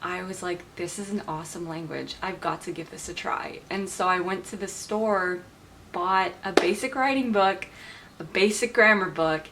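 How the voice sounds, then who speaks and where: A woman's voice: lowish voice, normal speed, background noise. A woman in her twenties talking casually to camera as a vlogger, chatty and enthusiastic, laughing often as she talks. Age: 20s. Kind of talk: casual vlog talk to camera.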